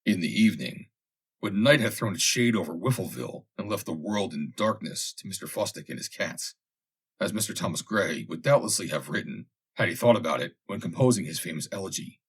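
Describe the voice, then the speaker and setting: A man reading a vintage humorous story aloud as scripted narration, with dramatic, expressive delivery. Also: sits low, brisk, breathy edge. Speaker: audiobook reader.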